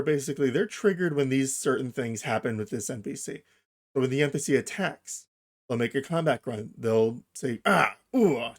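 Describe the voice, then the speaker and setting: Guy doing a casual screen tutorial, medium pitch, explains stuff step by step, pretty informal. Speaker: tutorial host. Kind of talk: casual software tutorial narration.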